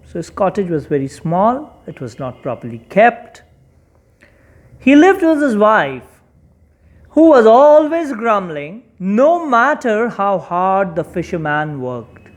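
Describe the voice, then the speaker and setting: A storyteller narrating a children's folktale expressively, with deliberate, dramatic emphasis. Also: mid-range voice, sing-song.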